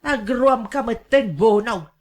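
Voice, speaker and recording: A high-voiced, brash older mother with a Filipino accent, scolding and wheedling in radio drama style as acted by a woman. She is a pushy gambler, always after money. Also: expressive, slow. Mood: bossy banter. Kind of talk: Ilocano radio drama acting.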